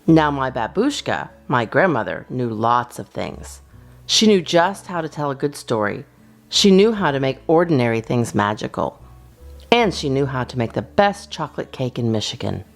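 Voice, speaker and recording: A woman reading a children's storybook in an expressive, deliberate narrating style. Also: extremely low pitch, faint music behind. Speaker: storyteller, children's channel host.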